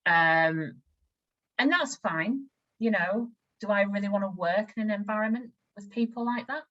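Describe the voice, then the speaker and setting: A woman's voice: medium pitch, moderate pace, fairly bright tone, dull audio. A woman from West Yorkshire with a Yorkshire accent, an interviewer sharing a personal story frankly in conversation. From West Yorkshire, England.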